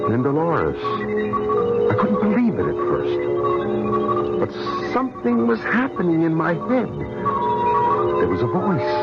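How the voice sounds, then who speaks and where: An actor playing an anxious, conscientious male weapons scientist in a 1970s radio drama, earnest, dramatic and hesitant. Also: fairly high pitch. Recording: dull vintage radio drama recording.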